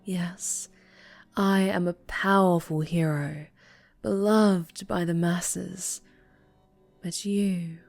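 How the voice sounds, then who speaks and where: A woman playing an obsessive female superhero captor in an ASMR roleplay, speaking in breathy coos and whispers with sweet, possessive menace. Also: medium pitch. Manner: breathy cooing that slips into whispers and soft menace. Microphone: close microphone.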